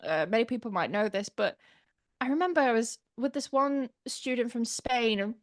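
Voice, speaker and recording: A woman in her thirties from England, a pronunciation teacher chatting as an interview guest with animated storytelling and lots of fillers. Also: a little high, moderate pace. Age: 30s. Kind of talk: casual interview chat.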